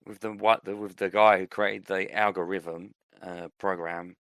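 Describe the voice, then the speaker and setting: A man's voice: low voice, fairly even intonation, unhurried, a bit bright, no echo. A man co-hosting a podcast, chatting casually about films and TV. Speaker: podcast co-host.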